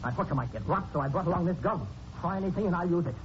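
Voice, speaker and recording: A man's voice: high-pitched, lively intonation, rapid-fire. An actor playing a shrewd, wary male newspaper reporter, accusing and insistent, in 1940s radio drama style. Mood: accusing, tense. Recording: dull hissy 1940s radio recording.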